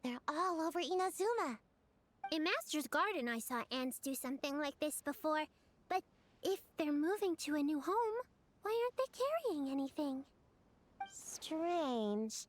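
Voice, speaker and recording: A woman's voice: high voice, expressive, unhurried. A woman voicing an earnest, bossy little witch girl who lectures about creatures in a game. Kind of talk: game voice acting.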